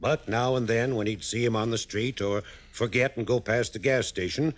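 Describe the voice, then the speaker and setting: A man's voice: mid-range voice, fairly even intonation, moderate pace, natural voice. An actor playing an earnest, worried American man briefing an investigator in a 1950s radio drama, telling his account insistently and emphatically.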